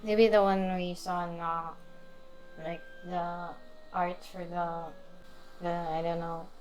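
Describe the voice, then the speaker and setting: A woman giving casual, low-key commentary in brief reactions while streaming a video game. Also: flat delivery, takes it slowly, darkish tone, a little hiss or hum, poor audio. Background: game music.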